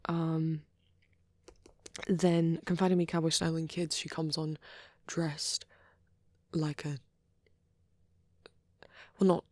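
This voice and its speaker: A woman rambling softly in an ASMR style, with a shy, hesitant, breathy delivery that often slips into a half-whisper, close to the microphone. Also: deep voice, little pitch movement, slow pace, long pauses, no echo. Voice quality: soft, breathy. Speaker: ASMR roleplay creator. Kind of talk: soft rambling ASMR chat.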